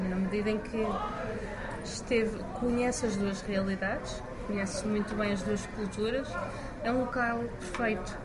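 A young adult woman with a European Portuguese accent answers interview questions as a language teacher. She speaks in a measured, considered way, with frequent soft hesitation sounds. Loud background noise runs under her voice, which sits at a middle pitch.

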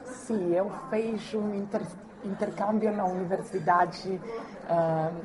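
A woman from Croatia talking casually as an interview guest, in careful, halting non-native Portuguese with occasional Spanish slips. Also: medium pitch, fairly even intonation, background noise.

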